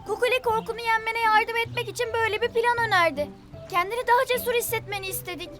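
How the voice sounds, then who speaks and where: A woman voicing a little, supportive, excitable young cartoon animal with squeaky, childlike eagerness, in a children's show. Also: fast talker. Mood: sincere. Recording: loud music behind the voice. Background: cartoon music.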